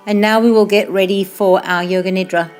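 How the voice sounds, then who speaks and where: A woman leads a guided relaxation as a yoga instructor, with music playing behind her. Her pitch sits in the middle for a woman. She speaks slowly and soothingly, letting the ends of phrases fade softly. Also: fairly even intonation. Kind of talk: guided meditation, slow calm instruction.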